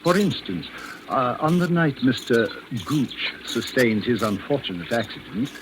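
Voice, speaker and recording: An actor playing a deferential, slyly menacing manservant in a 1940s radio drama, speaking in a formal, measured, obsequious way. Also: male voice, medium pitch, sing-song. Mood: smoothly insinuating. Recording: noisy vintage 1940s radio recording.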